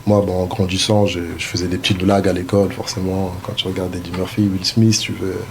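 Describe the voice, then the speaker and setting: A man's voice: deep voice, flat delivery, fast talker, slight background noise. A man hosting a casual discussion, hesitant, with frequent fillers and restarts. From France. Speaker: host.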